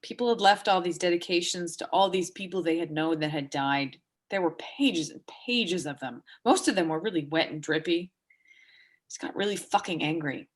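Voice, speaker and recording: A woman in a dramatic reading, playing a sharp, foul-mouthed, vulnerable woman with a halting, blunt delivery. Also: on the low side, lightly acted. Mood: angry, bitter. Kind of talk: dramatic reading of a stage play dialogue.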